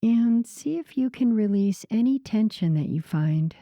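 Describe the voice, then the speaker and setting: Very slow, soft, dark-voiced woman. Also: a little high, animated, no room sound, studio quality. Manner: soothing, unhurried, gentle pauses. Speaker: sleep meditation guide. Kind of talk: slow guided sleep meditation narration.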